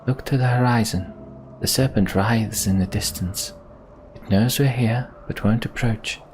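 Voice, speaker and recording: A man voicing an earnest, devoted sorceress in a fantasy video game, measured and sincere. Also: mid-range voice, a little mellow, a little hiss or hum, lightly acted. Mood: solemn. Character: earnest, devoted female mender sorceress, solemn.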